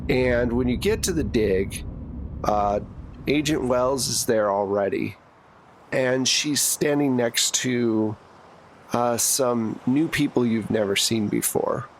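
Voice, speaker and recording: A man with a mid-range voice runs a tabletop game on a podcast. He talks slowly, pausing mid-sentence as he improvises narration and chats. There is clear ambient noise, like a vehicle, behind him. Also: mid-range voice. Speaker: game master, podcast host.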